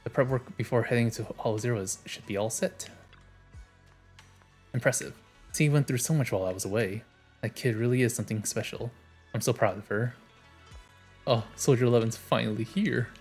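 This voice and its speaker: A man's voice: medium pitch, fairly quick, long pauses, darkish tone, faint music behind. A man streaming a game, reading its dialogue aloud and adding casual commentary.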